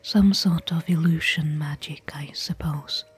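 A woman playing a caring, worried fantasy expedition companion in a soft, breathy, intimate ASMR whisper. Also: low voice, fairly even intonation, faint music behind, heavily acted. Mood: hushed recounting. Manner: intimate, gentle, slow and hushed. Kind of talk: soft-spoken ASMR roleplay.

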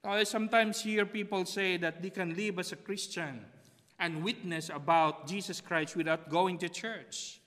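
A man's voice: high-pitched, fairly even intonation, moderate pace, fairly bright tone. A middle-aged man from the Philippines with a Filipino accent, preaching a sermon from a church pulpit and repeating key phrases. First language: Tagalog. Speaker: pastor. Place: empty church sanctuary behind a pulpit. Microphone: pulpit mic on a stand, far camera. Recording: long reverb of a church hall.

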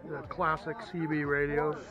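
A middle-aged American man giving casual, unhurried and enthusiastic vlog narration as a radio hobbyist. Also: on the high side, little pitch movement, a loud crowd. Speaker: vlogger and ham radio hobbyist. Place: outdoors at a fairground. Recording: muffled outdoor camera audio.

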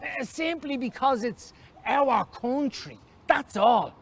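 A man voicing a flustered, slightly cross Irish uncle, an old soldier explaining haltingly in a story reading. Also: very high voice, lively intonation, gravelly, husky, pitched above the performer's own voice, full character voice.

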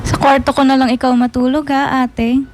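Woman acting high-voiced, kindly, timid younger sister. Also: level tone, fairly quick, a little hiss or hum, natural voice. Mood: friendly. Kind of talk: Filipino radio drama acting.

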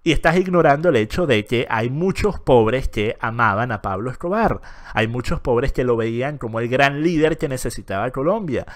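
Venezuelan man, mid-pitched voice, insistent debater, informal political argument, online voice chat. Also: expressive, moderate pace. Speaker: debater and host.

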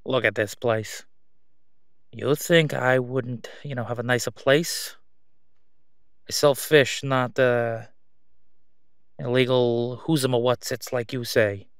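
A man acts out a middle-aged crime boss for an audio drama, sly and self-assured. He draws out his words with mocking condescension, smooth-talking his way along. The sound is close and dry. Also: mid-range voice, fairly even intonation, no room sound. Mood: feigned innocence. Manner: sarcastic, smooth-talking, drawn-out condescending delivery. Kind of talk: roleplay audio drama monologue.

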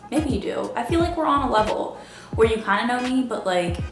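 A woman's voice: a little high, animated, fairly quick. A woman from Maryland rambling casually to camera in a vlog, full of "like" fillers. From Baltimore area, Maryland. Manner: rambling, filler-heavy "like" talk. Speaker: vlogger. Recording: roomy echo with music behind.

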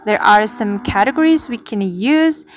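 Woman doing a how-to screen tutorial, mid-pitched voice, talking viewers through steps. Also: expressive, moderate pace. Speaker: tutorial host. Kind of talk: spoken screen-recording tutorial.

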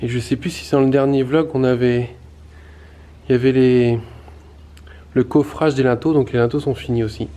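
A man's voice: mid-range voice, steady tone, moderate pace, long pauses, warm tone. A man in his thirties filming a casual walkthrough of his renovation work in a stone basement, explaining as he goes with many fillers and restarts. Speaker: renovation vlogger. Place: stone-walled basement under renovation, indoors. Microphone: handheld camera, close to face.

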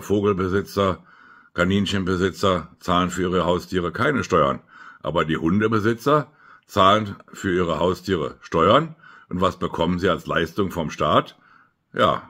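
Man, very low voice, halting, emphatic, informal political video update, home room. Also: fairly bright tone. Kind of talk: informal political commentary to camera. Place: small room at home by a window, indoors.

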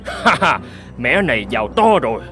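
Music plays under a stubborn hunter of middling pitch , voiced by a man. He is a greedy family man from an animated fable. He talks brusquely and brags, waving away any objection. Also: normal speed, close to an everyday voice. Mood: gloating. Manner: brusque, boastful, dismissive. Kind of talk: animated folk tale dialogue. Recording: music behind the voice.

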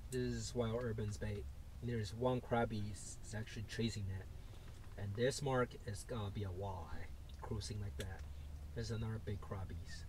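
A man's voice: steady tone, moderate pace, darkish tone. A man chatting casually as he fishes with a companion. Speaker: fishing companion. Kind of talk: casual chat while fishing. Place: ice fishing tent on frozen lake. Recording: noisy camera audio with ambient rumble.

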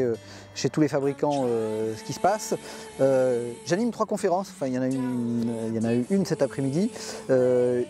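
A man's voice: medium pitch, mellow. An older man hosting a camper van vlog, talking outdoors by a motorhome on a lapel mic, with many hesitations. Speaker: camper van channel host. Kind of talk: casual vlog presenting and interviewing. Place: outdoors by a motorhome at a trade show car park.

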